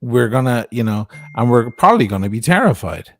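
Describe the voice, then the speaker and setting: A man's voice: medium pitch, big pitch swings, a little fast, dry recording, studio quality. A man, a guest on a casual livestream chat, talking in an earnest, rambling and reflective way.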